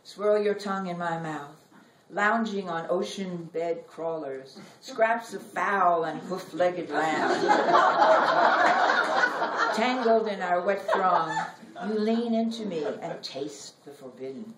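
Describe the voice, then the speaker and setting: A middle-aged woman giving a live poetry reading in measured, deliberate phrases with dramatic pauses. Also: fairly low pitch. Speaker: poet reading own work. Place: library reading room. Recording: roomy, noisy recording, distant from mic.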